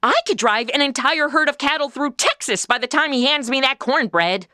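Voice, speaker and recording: A woman's voice: high voice, normal speed, pushed and bright, dry recording, studio quality. A woman playing a sassy, food-obsessed modern young woman in a comedy audio drama, cracking snarky wisecracks. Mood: demanding.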